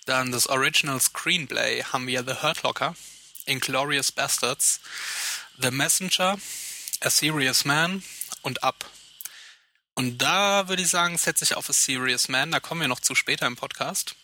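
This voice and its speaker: A man co-hosting a casual podcast, talking in a very bright, clear tone at an ordinary pitch. He rambles a little, fills pauses with hesitations and laughs easily. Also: poor audio. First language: German. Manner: chatty, hesitant with many fillers, quick laughs. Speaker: podcast co-host. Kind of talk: casual chat.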